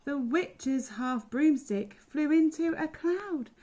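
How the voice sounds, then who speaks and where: Childminder reads rhyming picture book aloud, woman, slow lively sing-song. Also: high voice. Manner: expressive, sing-song storytelling.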